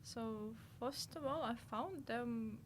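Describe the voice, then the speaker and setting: Young Ukrainian woman, halting English. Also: on the high side, a little hiss or hum. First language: Russian. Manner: halting, searches for words, laughs often. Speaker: guest. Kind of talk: casual interview.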